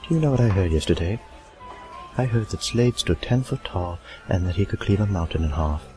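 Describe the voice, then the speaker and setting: A man's voice: sits low, animated, brisk, over music, rough recording. A man playing an earnest, loyal friend in a fantasy audio drama, speaking in a sombre way.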